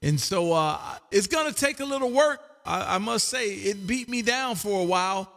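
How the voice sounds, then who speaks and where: A man's voice: sits high, lively intonation, normal speed, gravelly, a bit bright. A man hosting a casual ham radio chat, talking in an exuberant, folksy, chatty way. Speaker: amateur radio livestream host and operator. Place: radio room at home, livestreamed. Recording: voice with long hall reverb.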